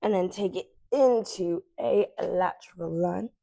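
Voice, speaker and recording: A woman in her twenties guiding a morning stretching class, cueing softly and unhurriedly as she moves. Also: lowish voice, unhurried, gravelly, dry recording. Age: 20s. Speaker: yoga instructor. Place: sunlit living room with large windows.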